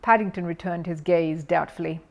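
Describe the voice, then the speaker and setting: A woman's voice: lowish voice, moderate pace, vocal fry, a little mellow. A woman reading a children's story aloud as scripted narration.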